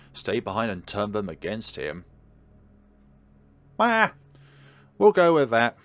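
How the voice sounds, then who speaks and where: A man's voice: low voice, fairly bright tone. A man narrating and commenting on a game as he plays it. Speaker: game streamer. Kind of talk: let's play commentary and read-aloud narration.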